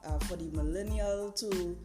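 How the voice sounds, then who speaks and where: A woman's voice: lowish voice, moderate pace, over music. Trini woman podcast host, explains stuff conversationally, roomy echo, hip hop beat going behind her. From Trinidad and Tobago. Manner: conversational, explanatory, frequent "right" and "you know" tags. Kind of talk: informal instructional podcast monologue.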